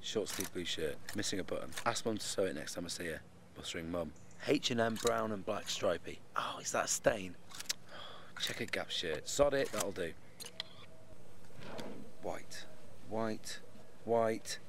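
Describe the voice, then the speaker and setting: A man's voice: low voice, animated, measured pace, long pauses, noisy background. An actor playing an anxious, irritable young British office worker voicing his rambling, self-questioning inner monologue in an audio drama. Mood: muttering, hurried.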